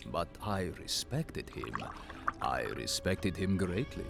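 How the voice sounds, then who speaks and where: Gravelly, pompous scheming alien senator. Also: male voice, sits low, big pitch swings, full character voice. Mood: solemn. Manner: pompous, theatrical, deliberate delivery. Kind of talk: animated series voice acting. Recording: music behind the voice.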